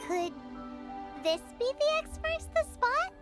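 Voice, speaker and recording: A little fairy companion from an anime game with a very high, childlike voice. Her pitch leaps about as she teases, bluntly and excitably. Game music plays clearly behind her. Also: takes it slowly, full character voice. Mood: curious, teasing. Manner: speaks of herself in third person, teasing.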